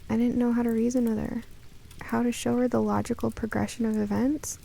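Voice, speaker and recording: A woman narrating a scripted first-person story in a gentle, expressive way. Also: fairly high pitch, brisk, creaky.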